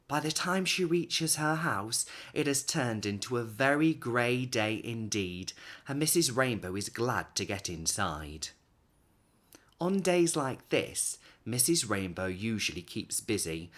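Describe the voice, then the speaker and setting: A man's voice: on the low side, a bit bright. A man, reading a picture book aloud expressively for young children. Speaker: children's storyteller and host.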